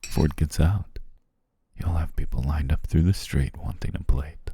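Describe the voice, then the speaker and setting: Man as a very deep, gravelly demon king. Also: normal speed, warm tone, heavily acted. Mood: delighted, savoring. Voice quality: very deep, gravelly, husky, breathy. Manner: formal, old-fashioned courtly phrasing, savoring. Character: courteous, flattering demon king, grand yet playful. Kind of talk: ASMR roleplay, intimate second-person acting.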